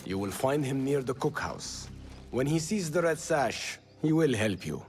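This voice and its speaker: An actor playing a determined, impassioned rebel leader in a video game, resolute and expressive. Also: male voice, medium pitch, a little slow, faint music behind. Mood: earnest.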